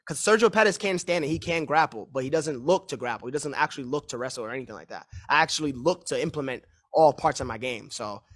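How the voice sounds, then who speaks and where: A man in his twenties, a fighter answering press questions on a call, confident and repeating himself in fast run-on answers. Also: a little high. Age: 20s. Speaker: mixed martial arts fighter.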